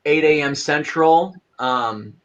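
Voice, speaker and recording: A man guest on a podcast, talking in a relaxed, easygoing way and laughing often. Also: a little high, slow, muffled recording. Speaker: guest, fan film creator. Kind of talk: casual interview chat.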